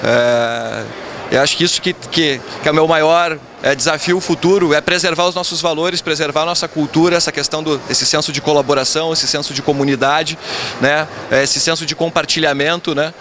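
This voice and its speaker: Measured man with a higher voice. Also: steady tone, fairly bright tone, slight background noise. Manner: measured, frequent filler tags. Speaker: incoming company president. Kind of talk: event interview. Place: event stage backdrop, indoors. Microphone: handheld mic held by interviewer.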